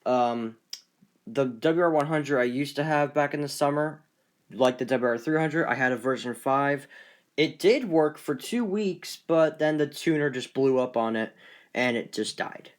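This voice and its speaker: A man with a mid-pitched voice casually shows off a collection. He speaks off the cuff and haltingly. His phrases often trail away. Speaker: collector presenting weather radios. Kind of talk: casual unscripted show-and-tell.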